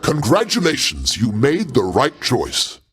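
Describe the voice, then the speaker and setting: An actor playing a proud, paternal older god in a video game, speaking in a pompous, avuncular way with formal, theatrical diction. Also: male voice, mid-range voice, wildly swooping pitch, unhurried, fairly bright tone, breathy edge, heavily acted. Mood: gracious. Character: grandiose, paternal older male Greek god, proud, easily offended.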